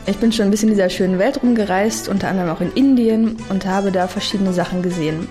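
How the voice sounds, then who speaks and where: A woman's voice: medium pitch, fast, mellow, soft background music. A woman who has traveled, explaining foreign customs to children in a plain, chatty way.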